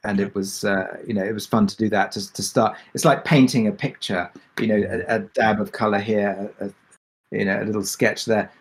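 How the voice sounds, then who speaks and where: Low-voiced man thinking aloud. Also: steady tone, fairly quick, slightly rough. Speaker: podcast drama creator and producer. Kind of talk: informal panel Q&A answer.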